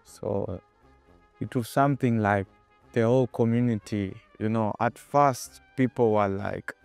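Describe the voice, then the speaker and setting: A man's voice: fairly low pitch, measured pace, vocal fry, darkish tone, clear, resonant tone, soft background music. A young man with a Kenyan accent, answering interview questions in a hesitant way with many fillers. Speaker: footballer guest.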